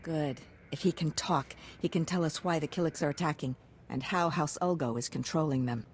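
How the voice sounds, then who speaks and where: A woman's voice: deep voice, normal speed, slight background noise, lightly acted. A woman playing a pragmatic, hard-nosed military science commander in a video game, clipped and businesslike. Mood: urgent, clinical. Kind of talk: video game character acting.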